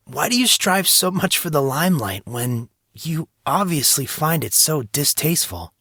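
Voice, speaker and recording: A man's voice: on the high side, sing-song, moderate pace, pushed and bright, breathy edge, no echo, studio quality, pitched above the performer's own voice, heavily acted. A man voicing an earnest, wry young rich man in a narrated fantasy story. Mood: curious.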